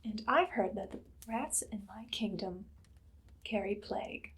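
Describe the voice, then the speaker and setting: A woman playing a cruel, imperious evil queen in an ASMR roleplay, speaking softly and often slipping into a whisper. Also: mid-range voice, measured pace, a little hiss or hum, close to an everyday voice. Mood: coolly amused. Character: haughty evil queen, cruel, darkly amused conqueror. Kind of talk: ASMR roleplay monologue, personal attention.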